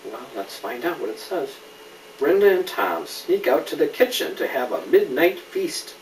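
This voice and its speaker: A middle-aged man reading a children's story aloud in an animated way, with conversational questions to a young listener. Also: a little high, moderate pace, gravelly. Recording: roomy, distant home recording with noise.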